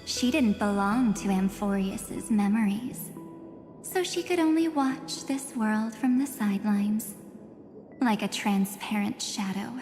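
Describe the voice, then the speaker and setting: A woman voicing a composed, enigmatic guardian in a video game, speaking in a measured, cryptic, formal way. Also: medium pitch, darkish tone, lightly acted. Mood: calm, solemn. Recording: long reverb, music behind.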